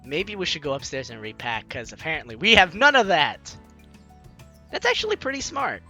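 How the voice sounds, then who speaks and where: A man's voice: a little high, huge pitch swings, normal speed, sharp tone. A young man playfully reading a visual novel aloud and commenting on it as a let's play host, with game music behind him. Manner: playful, theatrical, jokey reactions.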